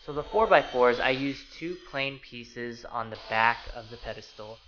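A man in his thirties hosting a home DIY channel, explaining a woodworking build in a relaxed, casual way with frequent fillers. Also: medium pitch, moderate pace, lo-fi sound, slight background noise. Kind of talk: casual how-to narration. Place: small home laundry room, then voice-over over garage workshop footage. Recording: voice over clear background music. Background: background music.

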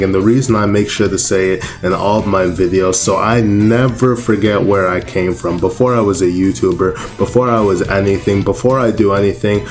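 A seventeen-year-old young man from Canada with a deep voice, chatting casually to his viewers and laughing at himself. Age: teens. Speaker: YouTuber.

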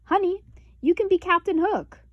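A woman's voice: very high-pitched, normal speed, no room sound, highs cut off, voice pushed up. A woman reading the part of a bossy young boy bear cub in a storybook, emphatic and directing others. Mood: bossy, eager.

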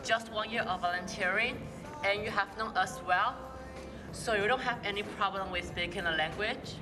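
A teenage girl with a Taiwanese accent playing a grateful, ailing man from abroad in a school stage play, in earnest, halting English. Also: mid-range voice, lightly acted. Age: teens. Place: large school auditorium stage. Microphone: far stage mics. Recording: echoey hall with music behind.

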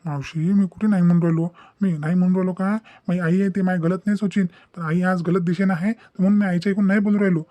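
A man's voice: high-pitched, steady tone, quick pace, hardly any pauses, mellow, dry recording, close to an everyday voice. A man playing an indignant, principled young son in a cartoon, lecturing emphatically in a Vidarbha Maharashtrian dialect. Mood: defiant. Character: indignant, principled young adult son defying his mother. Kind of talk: comic family drama cartoon dubbing.

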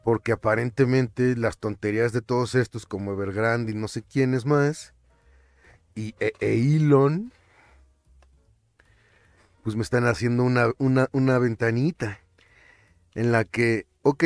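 A young Mexican man with a Mexican accent, talking casually on his finance vlog, animated and often stumbling over and repeating words. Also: medium pitch, moderate pace, dry recording, studio quality, soft background music. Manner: animated, chatty, stumbles and repeats words, slips in English terms. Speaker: finance vlogger. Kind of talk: casual commentary over screen captures.

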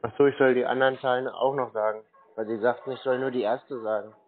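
A man's voice: mid-range voice, steady tone, fast talker, phone-quality sound. A man speaking as a naive, confused, literal-minded customer in a phone conversation. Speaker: scambaiter playing a naive customer. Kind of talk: slow, bumbling phone conversation. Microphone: telephone call.

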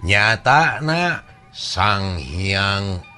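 A male storyteller with a Sundanese accent narrates a folk tale in dramatic oral style. His voice is fairly low and his pace slow, with faint music underneath. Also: sing-song, sharp tone.

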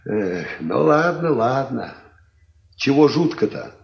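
Grumbling old peasant, wildly expressive. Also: male voice, medium pitch, slow pace, creaky, dull audio, slight background noise. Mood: gruffly indulgent. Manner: folksy, grumbling, muttering to himself. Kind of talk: Soviet radio drama acting.

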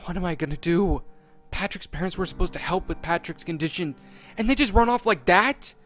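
A man's voice: sits high, lively intonation, fairly quick, fairly bright tone, faint music behind, pitched above the performer's own voice. A man voicing an anxious, upset young male sea sponge who frets in worked-up outbursts.

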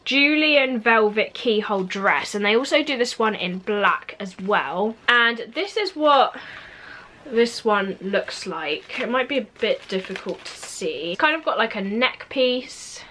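A woman's voice: on the high side, expressive, a little slow, sharp tone, slight background noise. A young woman in her twenties, just over a cold, chatting casually through a clothing haul review in her bedroom, with many "like" fillers. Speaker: fashion vlogger. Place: bedroom at home, indoors.

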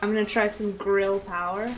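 A woman's voice: medium pitch, fairly even intonation, normal speed, slight background noise, poor audio. Young woman gaming, faint gunfire behind. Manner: playful, slangy. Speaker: gamer, co-player. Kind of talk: casual gameplay chat. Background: war game gunfire.

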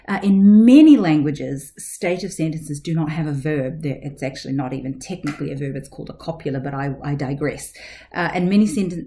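A woman's voice: deep voice, mellow. A woman in her forties, a language teacher hosting a podcast, chatting and explaining at home into a webcam, digressing with lots of fillers. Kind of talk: casual explanatory podcast talk. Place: living room at home. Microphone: webcam at desk.

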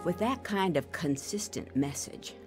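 A grown woman giving scripted first-person testimony in an audio drama. She narrates in a measured, reflective way, with clear music underneath. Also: on the low side, expressive. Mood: somber. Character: grown woman recounting her abusive childhood.

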